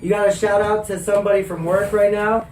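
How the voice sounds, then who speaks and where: A man's voice: high voice, level tone, brisk, darkish tone, slight background noise. A man with a nasal voice co-hosting a podcast, joking in casual crude banter. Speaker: podcast co-host. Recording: echoey room, mic at a distance.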